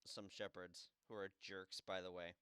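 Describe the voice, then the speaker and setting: A man's voice: low-pitched, little pitch movement, normal speed. A man doing casual, playful let's play commentary, joking wryly as he plays a game. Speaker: gameplay commentator playing the game.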